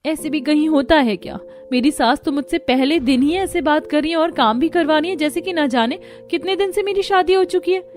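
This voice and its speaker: A woman voices a young bride in a cartoon family drama. She is high-pitched, polite and submissive, with a plaintive, hopeful way of talking over faint music. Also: brisk, hardly any pauses, lightly acted. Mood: meek, plaintive.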